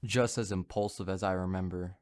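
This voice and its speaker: An actor playing a cocky, taunting villain sorcerer in an audiobook drama. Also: male voice, deep voice, steady tone, fairly quick, natural voice.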